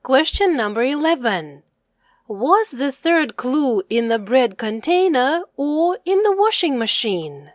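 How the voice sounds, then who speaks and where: Very slow, lively, higher-pitched woman teacher. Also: no echo, clean studio sound. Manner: slow, deliberate, carefully enunciated, teacherly. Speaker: children's read-aloud presenter and teacher. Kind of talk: quiz questions and answers for young children.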